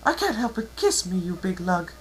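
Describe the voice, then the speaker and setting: A lovestruck, awkward princess mare from a cartoon story , acted by an adult reader. Her voice sits higher than the reader's own and moves around a lot, with faint noise behind it. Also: big pitch swings, normal speed, natural voice. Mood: smitten. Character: awkward, lovestruck royal pony princess of the night. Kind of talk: cartoon fan-fiction dramatic reading.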